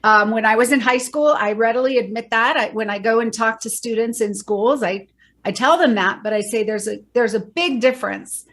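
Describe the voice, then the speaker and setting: A woman's voice: fairly high pitch, moderate pace, a bit bright. A middle-aged woman, a bereaved mother and educator, explaining earnestly in a podcast interview and rattling off lists of facts.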